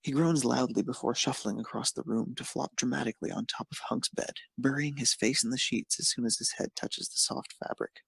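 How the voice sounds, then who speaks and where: Creaky, husky male narrator. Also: mid-range voice, steady tone, a little fast, few pauses, fairly bright tone, dry recording. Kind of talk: scripted narration of fan fiction.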